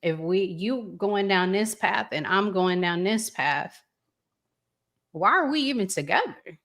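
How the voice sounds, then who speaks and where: A woman's voice: mid-range voice, animated, unhurried, fairly bright tone. A woman hosting a relationship discussion in an earnest, reflective conversational style, repeating phrases for emphasis. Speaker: relationship podcast host asking questions.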